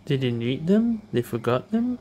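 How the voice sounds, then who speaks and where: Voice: mid-range voice, sing-song, moderate pace, dark tone, a little hiss or hum. A gaming video creator giving casual, brief commentary while playing.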